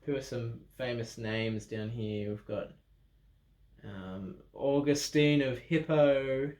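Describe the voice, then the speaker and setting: A man reading a children's Bible story aloud in an animated way, with dramatic pauses, expressive emphasis and playful asides. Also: mid-range voice. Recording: roomy, echoing room sound.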